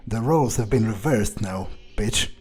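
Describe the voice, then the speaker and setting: A man's voice: fairly low pitch, normal speed, a little mellow, breathy edge, soft background music. A man playing an arrogant, power-drunk vampire in an improvised in-character game monologue, sneering, profane and domineering. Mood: menacing, domineering.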